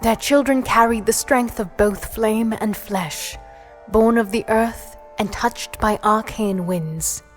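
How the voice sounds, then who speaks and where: An earnest female storyteller narrates dark fantasy in a fairly high voice. Her delivery is slow, deliberate and scripted, with soft music behind. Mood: solemn. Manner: measured, deliberate.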